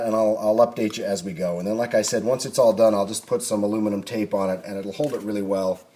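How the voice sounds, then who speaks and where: A man's voice: fairly low pitch, a little mellow. A man giving an unscripted, casual workshop tutorial, rambling and thinking aloud in a folksy way as he works. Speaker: hobbyist restorer demonstrating repairs. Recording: clear background noise, home workshop video.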